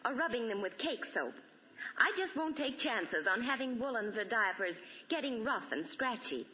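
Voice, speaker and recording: A dull 1940s radio recording carries an earnest young mother. Her bright, fairly high voice is lively and emphatic, as in a scripted soap testimonial. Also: moderate pace. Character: practical, careful young mother praising laundry soap.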